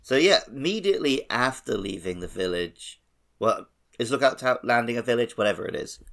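A man's voice: mid-range voice, expressive, a little fast, creaky, a bit bright. A man hosting a podcast, talking casually and conversationally about video games. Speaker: podcast host. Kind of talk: casual video game chat.

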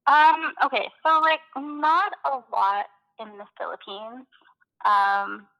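A woman's voice: high voice, flat delivery, takes it slowly, gravelly, dull audio. A young woman from Canada chatting casually as an interview guest, rambling and hesitant with many fillers. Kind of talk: casual conversation.

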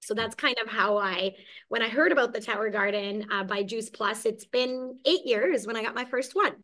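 A woman's voice: on the high side, moderate pace, a bit bright. A woman from Hungary, a business owner, telling her story in a casual interview, recorded at her indoor garden business.

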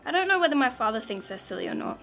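Earnest, literal little girl played by a woman, high voice, old-time radio drama, light music underneath. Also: big pitch swings, racing, a bit bright, heavily acted. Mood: matter-of-fact. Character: matter-of-fact, sceptical little girl.

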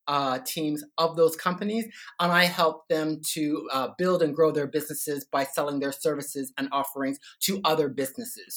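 A man's voice: fairly high pitch, pushed and bright. A middle-aged man from Canada with a Canadian accent, a podcast guest who explains his consulting work steadily and often leans on "uh" and "so" fillers. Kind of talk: casual interview talk.

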